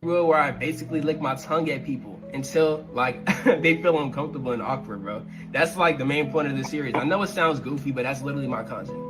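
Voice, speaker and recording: A man's voice: mid-range voice, quick pace, over music, low-quality recording. A man telling a casual, slangy story about one of his videos, acting out the other people's lines as he goes. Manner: animated, slangy, laughs while telling. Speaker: content creator telling a story.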